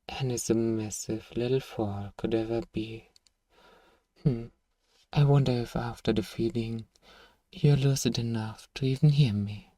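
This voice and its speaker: Man as a slow, seductive, hypnotic male vampire. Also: mid-range voice, dark tone, no echo, far from the mic, close to an everyday voice. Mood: hungry, delighted. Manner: slow, soothing, coaxing, possessive. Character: seductive, manipulative male vampire lord hypnotising captive prey. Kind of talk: ASMR audio roleplay.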